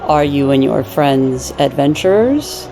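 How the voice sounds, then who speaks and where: Woman acting as elderly half-elf nun, earnest, formal, measured delivery, fantasy audio drama, music underneath. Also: very deep voice, lively intonation, heavily acted. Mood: helpful. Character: older half-elf nun, kindly and solemn. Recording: music behind the voice.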